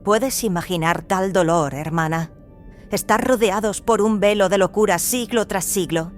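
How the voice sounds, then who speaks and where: A woman playing a serene, fervent religious zealot in an audio drama, speaking calmly and solemnly. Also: fairly low pitch, lightly acted. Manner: calm, devout, solemn. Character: serene, fervent cloned female zealot from an isolated world. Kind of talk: dramatized audio fiction dialogue in Spanish.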